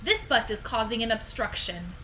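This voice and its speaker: Woman acting a stern male tow driver, picture-book character, officious, firm, forceful tone, faint background noise. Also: fairly high pitch, lively intonation, normal speed.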